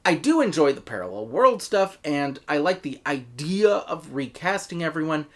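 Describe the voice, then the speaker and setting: Male American reviewer, opinionated narration, lively swooping intonation throughout. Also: on the high side, normal speed. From United States. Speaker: reviewer, host.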